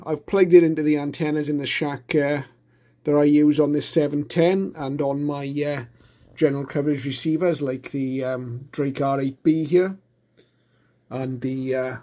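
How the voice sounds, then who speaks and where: Male hobby host, casual demo narration, soft warm tone, a little higher than average. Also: steady tone, unhurried. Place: quiet indoor room, radio shack.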